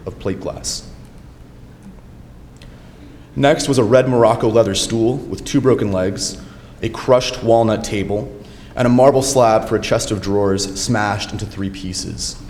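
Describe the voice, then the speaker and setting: A young man giving an academic lecture in a friendly, measured way, with occasional fillers. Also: lowish voice, a little mellow. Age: young adult. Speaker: lecturer, doctoral candidate. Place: lecture hall. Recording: lecture hall reverb, faint noise.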